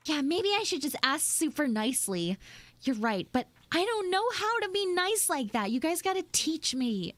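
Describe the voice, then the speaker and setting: Woman playing a high-pitched dramatic tsundere anime girl. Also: sing-song, normal speed, fairly bright tone, dry recording. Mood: whiny, sulky. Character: bratty, dramatic tsundere two-dimensional anime girl, student. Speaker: streamer, voice actor. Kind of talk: live improvised audio roleplay, chatting with listeners.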